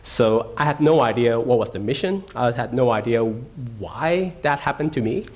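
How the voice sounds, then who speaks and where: A man's voice: medium pitch, animated, normal speed, dull audio. A middle-aged man from Hong Kong giving a talk at a school, often asking the audience questions and checking in with "okay". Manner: interactive, asks audience questions, frequent okays. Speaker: guest speaker. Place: school auditorium.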